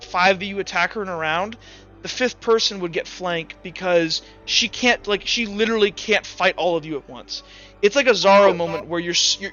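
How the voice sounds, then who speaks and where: A man's voice: sits high, a bit bright. A man running a tabletop roleplaying game as game master, explaining rules and scenes in a casual, rambling way with restarts. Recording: dull lo-fi voice with music behind.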